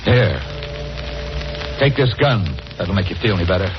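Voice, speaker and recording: A man's voice: lowish voice, animated, moderate pace, music in the background, lightly acted. Actor as insistent man, muffled vintage radio drama. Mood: exasperated. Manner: insistent, commanding, exasperated. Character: urgent, hard-headed, impatient male companion giving orders. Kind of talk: 1940s radio horror acting.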